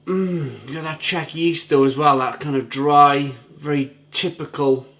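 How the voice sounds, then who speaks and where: Gruff-voiced enthusiastic beer reviewer man. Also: a little high, fairly even intonation, measured pace, fairly bright tone. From England. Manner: enthusiastic, savoring, chatty with repeats. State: gruff, rough throat. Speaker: beer reviewer, channel host. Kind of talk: casual review to camera.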